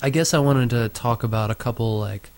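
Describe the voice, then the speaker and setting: A man's voice: mid-range voice, brisk, darkish tone, dry recording. A man co-hosting a crude casual chat show, talking in a profane, offhand way that often trails off. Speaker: podcast co-host.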